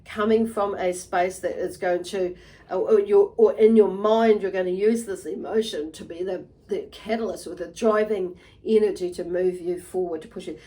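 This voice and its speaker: A woman giving an unscripted tarot reading to viewers, halting and meandering, often repeating and rephrasing herself as she goes. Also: mid-range voice, fairly even intonation, warm tone. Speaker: tarot reader and channel host.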